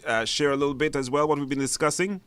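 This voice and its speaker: A man's voice: a little high, quick pace, a bit bright, slight background noise. A man with a Belizean accent hosting a live call-in discussion, with informal, hesitant phrasing. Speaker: talk show host.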